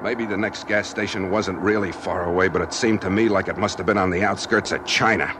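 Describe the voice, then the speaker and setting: A man's voice: fairly even intonation, fairly quick, close to an everyday voice. An American man playing a desperate, hard-boiled driver held at gunpoint in a 1940s radio drama, with a wry, pleading manner. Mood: grim narration. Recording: noisy 1940s radio transcription, engine rumble.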